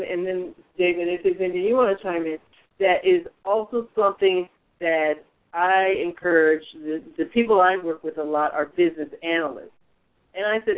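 A man hosting a radio advice show, coaching in a strong, projecting voice with frequent restarts. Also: sits high. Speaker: radio show host, mentor. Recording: phone-like narrow band.

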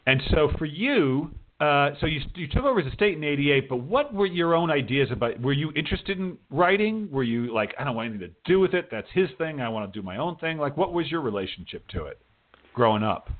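A middle-aged man hosting a radio interview in a casual, informal way, rambling a little as he thinks out loud. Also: a little high, sing-song, a little fast. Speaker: radio show host and interviewer. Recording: band-limited, dull radio-show audio.

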